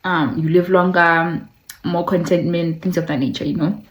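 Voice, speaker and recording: A woman's voice: on the low side, fairly even intonation, moderate pace, low-quality recording. A woman hosting a video essay in a conversational way, with playful asides, repeated words for emphasis and some passages read aloud. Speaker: online video host.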